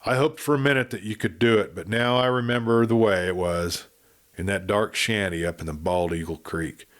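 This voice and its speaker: A man's voice: fairly low pitch, moderate pace, a bit bright, lightly acted. A man voicing a blunt, grim cowboy in a western audiobook, with rustic frontier talk.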